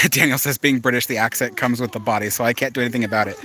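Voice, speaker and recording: An easygoing man with a Southern Californian accent chats through a live stream from an outdoor park. His pitch sits in a middle range for a man, and his delivery is loose and conversational. He reads viewer comments aloud and riffs on each one. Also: fairly even intonation, fairly quick, noisy background. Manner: easygoing, chatty, with frequent fillers and restarts.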